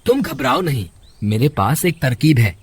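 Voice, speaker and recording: A man voicing a scheming, confident village man in a horror cartoon, with brisk and emphatic speech. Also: medium pitch, big pitch swings, breathy edge, pitched below the performer's own voice. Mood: reassuring. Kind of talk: Hindi horror cartoon character voice acting.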